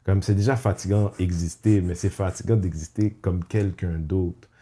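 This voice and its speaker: A man with a low voice and a warm, mellow tone talks in a casual podcast chat. He is reflective and halting, restarts his sentences often, and slips English phrases into what he says. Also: fairly even intonation. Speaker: podcast host.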